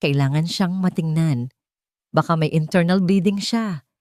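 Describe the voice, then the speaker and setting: A principled young female nurse, as a woman narrator plays her, earnest and firm with a slight tremble of resolve. Her voice is mellow and lowish, heard close and dry in a storybook drama. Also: a little slow, dry recording, lightly acted.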